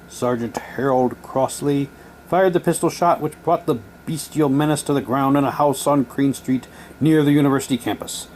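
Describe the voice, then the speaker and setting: A man's voice: medium pitch, normal speed, a little mellow. Man presenting casually over noticeable background noise. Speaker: presenter showing audio drama props. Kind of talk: casual show-and-tell, reading prop documents aloud.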